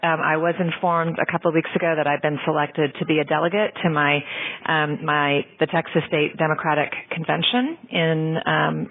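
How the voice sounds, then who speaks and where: A woman leaving a casual, halting personal account as a listener's voicemail over the phone. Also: low voice, a little hiss or hum. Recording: tinny phone voicemail line.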